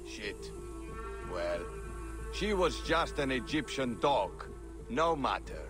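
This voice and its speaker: A callous master of arms talks with open contempt in a game cutscene. His medium-pitched voice moves up and down a lot over clear music. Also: very animated, slow pace. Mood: scornful. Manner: dismissive, contemptuous. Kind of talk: dramatic video game cutscene acting.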